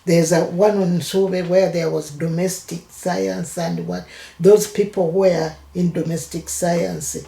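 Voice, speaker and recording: Slow, gravelly older Ugandan woman. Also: fairly low pitch, flat delivery, mellow, reverberant. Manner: hesitant, halting, with many restarts. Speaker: interviewee. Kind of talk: oral history interview.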